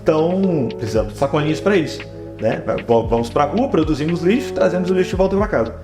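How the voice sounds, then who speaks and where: A man's voice: a little high, expressive, fast. A man with a Brazilian Portuguese accent giving talkative, explanatory interview answers, often adding tag questions. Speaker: interview guest, photographer and production director. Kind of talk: conversational interview answers with personal anecdotes. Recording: roomy echo, guitar music behind. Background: guitar music.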